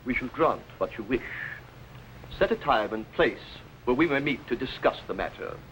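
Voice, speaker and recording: Actor as imperious, scheming medieval king, very animated. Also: mid-range voice, normal speed, lightly acted. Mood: grudging. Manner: imperious, pompous, commanding. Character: arrogant, tyrannical, scheming medieval English king. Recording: noisy 1950s television soundtrack.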